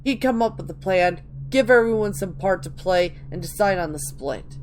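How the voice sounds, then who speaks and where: A woman voicing a rough, chatty street gang member telling tales colloquially in a dramatic reading of video game lore. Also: mid-range voice, animated, normal speed, a little mellow, a little hiss or hum, natural voice. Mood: gruff reminiscing.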